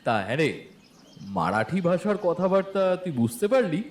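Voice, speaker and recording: A man playing an amused village history teacher who questions and gently teases his pupil in an audio drama. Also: high voice, sing-song, normal speed. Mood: teasing. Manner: questioning, bantering. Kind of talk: dramatised audio story dialogue.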